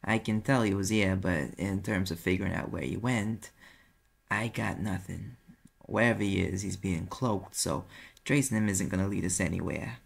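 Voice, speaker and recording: Man playing a gravelly, brash New York private eye. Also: sits low, moderate pace, heavily acted. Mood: explaining, weary. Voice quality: gravelly, husky. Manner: sardonic, casual, swearing, defensive. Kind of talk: audio roleplay acting, conversational.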